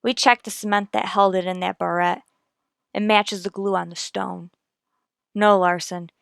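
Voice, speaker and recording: A woman's voice: mid-range voice, fairly even intonation, moderate pace, creaky, a bit bright, clean tone, no room sound, studio quality. A woman playing a coolly methodical police detective sergeant in a radio crime drama, clipped and matter-of-fact. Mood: pressing, accusing.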